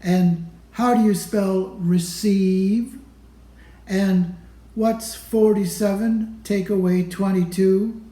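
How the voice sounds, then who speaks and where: A man reading a children's picture book aloud in a deliberate manner, pausing between phrases. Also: sits high, steady tone, warm tone. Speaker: storybook reader. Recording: roomy, echoey room sound.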